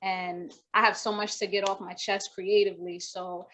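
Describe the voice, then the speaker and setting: A young woman guest rapper with a naturally soft voice, talking casually on a video call, rambling with many restarts and fillers. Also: mid-range voice, flat delivery, normal speed, slight background noise. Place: home room with wooden shelves. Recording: dull lo-fi video call audio.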